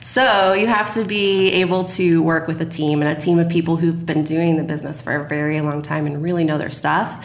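Low, creaky-voiced woman in a roomy space. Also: fairly even intonation, a little fast, few pauses. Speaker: podcast guest, radio drama producer. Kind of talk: conversational interview. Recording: roomy echo, faint noise.

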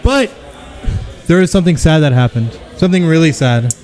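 A man's voice: a little high, expressive, brisk, a little mellow, soft background music. A man in his thirties hosting a live sports podcast from a taproom, upbeat and joking as he plugs the venue. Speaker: podcast host. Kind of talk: casual sports talk show. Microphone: stand mic with pop filter.

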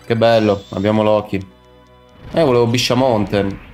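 Young guy streamer, lowish voice, mumbling thru his game n chatting, bit of game music behind. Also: fairly even intonation, moderate pace, a little mellow. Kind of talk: casual live game commentary, chatting with viewers. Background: video game music.

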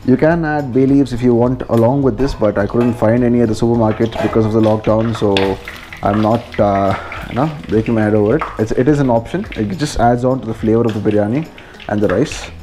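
A man's voice: medium pitch, steady tone, fairly quick, mellow, a little hiss or hum. A man with an Indian accent giving a casual, informal step-by-step cooking narration as a home vlogger in a kitchen.

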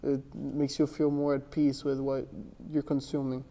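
Soft-spoken young man, gravelly and mellow. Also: mid-range voice, moderate pace. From born in Miami, raised in Ecuador and Texas. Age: young adult. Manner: soft-spoken, relaxed, with frequent "like" and restarts. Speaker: interview guest, jiu-jitsu athlete. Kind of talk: casual interview answers. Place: gym with large windows, indoors. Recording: long reverb from a large room.

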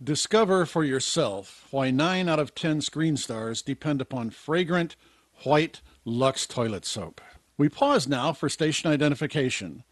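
A man acts a vintage radio pitchman, polished and formal. His fairly high voice is recorded dry and close. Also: lively intonation, a little slow, dry recording. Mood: polished selling. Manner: formal, salesmanlike. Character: polished old-time radio announcer and pitchman. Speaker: announcer. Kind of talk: 1950s radio announcing.

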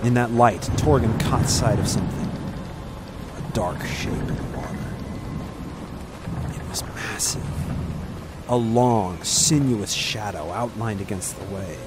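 A man's voice: lowish voice, measured pace, pauses often, very noisy. A man narrating a scripted adventure story in an intense, dramatic storytelling manner.

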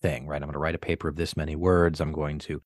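Low-voiced male host, academic, winding questions, dry close sound. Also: little pitch movement, quick pace, no echo. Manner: long winding questions, hesitant repeats. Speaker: podcast host.

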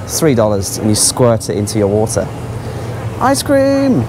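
A man's voice: on the low side, fairly even intonation, brisk, over music. A man giving casual, offhand, exclaiming commentary as a travel vlogger walking around a supermarket. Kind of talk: casual running vlog commentary. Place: large supermarket aisles.

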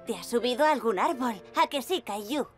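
An actress plays a young woman climbing instructor from a children's cartoon, in Castilian Spanish. Her voice is fairly high and very animated, cheerful and reassuring as she talks to little ones. Soft music plays behind her. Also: fairly quick, natural voice. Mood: encouraging. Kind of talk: animated dub dialogue.

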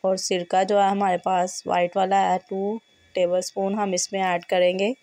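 A woman with a hoarse, fevered voice, hosting a home cooking tutorial and giving steady step-by-step instructions in a kitchen. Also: mid-range voice, normal speed, no room sound. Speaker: cooking channel host.

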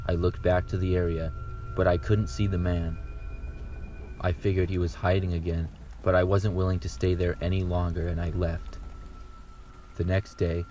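A man reading true horror stories aloud in a calm, steady first-person narration. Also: low-pitched, slight background noise. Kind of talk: scripted horror story narration.

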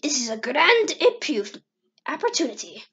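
A woman's voice: sits high, big pitch swings, moderate pace, sharp tone, full character voice. A woman voicing a pompous, theatrical male villain scientist in an amateur comic dub. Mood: gloating. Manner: pompous, grandiose.

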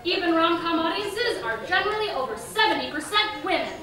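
A young woman on stage playing an earnest, excitable scientist who lectures on romance in an emphatic, theatrical comic delivery. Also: very high voice, a little fast. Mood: earnest lecturing. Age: young adult. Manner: emphatic, projected stage speech. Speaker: actor in a stage comedy. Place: large indoor theatre stage. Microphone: far camera. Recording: echoey theatre stage, distant mic, noisy.

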